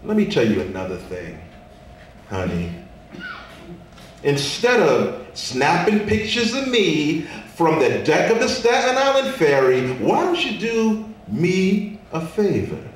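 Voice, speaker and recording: In a very echoey room, a man performs a weary, sassy Statue of Liberty as live spoken word. The folksy figure preaches in rhyme, with slow, drawn-out words and widely swooping pitch. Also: big pitch swings. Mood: sassy, preachy. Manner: dramatic, sing-song rhymed delivery with drawn-out words. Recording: echoey room, distant mic, faint noise.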